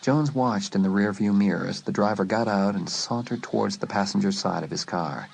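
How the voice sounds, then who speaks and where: A man narrating a suspense story in a dramatic, scripted style, letting phrases drop to a hush. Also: fairly low pitch, fairly quick, vocal fry, a little hiss or hum. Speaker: audiobook narrator.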